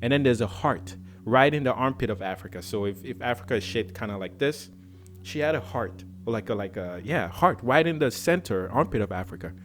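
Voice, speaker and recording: Animated man from Cameroon telling stories. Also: brisk, slight background noise. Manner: animated, acts out dialogue with swings in pitch. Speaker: podcast host. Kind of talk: casual conversational storytelling.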